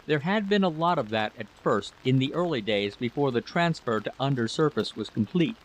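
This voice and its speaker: A man's voice: medium pitch, lively intonation, moderate pace, poor audio. A man narrating a scripted story as a literary reader.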